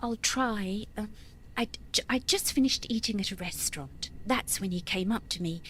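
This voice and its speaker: A woman's voice: medium pitch, moderate pace, fairly bright tone, lightly acted. A woman playing a composed but guarded young lady giving hesitant, polite testimony in an audio play, with faint noise behind her. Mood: hesitant, shaken.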